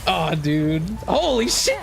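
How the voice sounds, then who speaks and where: A gaming content creator giving casual live reaction commentary, excitable and exclamatory, swearing freely. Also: mid-range voice, slow, creaky. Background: trailer music behind the voice.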